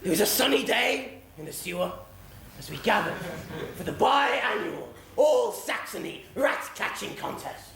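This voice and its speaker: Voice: mid-range voice, big pitch swings, a little slow, vocal fry, background noise. An actor playing a washed-up, drunken old cat in a stage pantomime, rambling and melodramatic. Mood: grandiose storytelling. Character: washed-up, drunken, self-pitying old alley cat, former rat catcher. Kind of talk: stage pantomime comedy acting. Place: theatre stage before a live audience. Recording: echoey theatre stage recording with audience.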